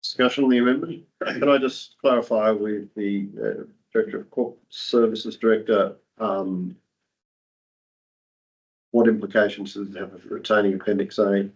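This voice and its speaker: A man chairing a council meeting, running it in a brisk, procedural way. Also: lowish voice, fairly even intonation, husky. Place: council chamber. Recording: dull lo-fi meeting audio.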